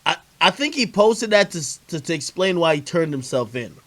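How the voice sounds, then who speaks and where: A high-pitched man hosting a livestream debate. He talks in loose, profane street slang. He presses his points insistently and repeats them. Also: sing-song, brisk, fairly bright tone. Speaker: host of a livestream interview. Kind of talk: casual heated argument on a stream.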